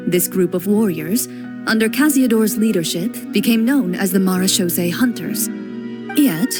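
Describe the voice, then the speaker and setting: A young woman playing a composed, serious female duelist, speaking in a calm, measured way as she explains history in game dialogue. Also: mid-range voice, lightly acted. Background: game music.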